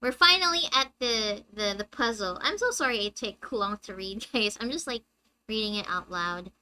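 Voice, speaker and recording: A young woman with a high-pitched, squeaky voice, chatting with her viewers on a live game stream and reading the story text aloud. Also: expressive, moderate pace, sharp tone, smooth. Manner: chatty, reads dialogue aloud and answers chat.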